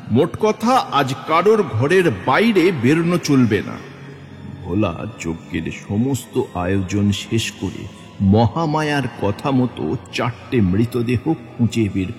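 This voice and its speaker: A man narrating a horror story as a dramatic scripted audio tale. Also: a little high. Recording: long hall reverb with music underneath.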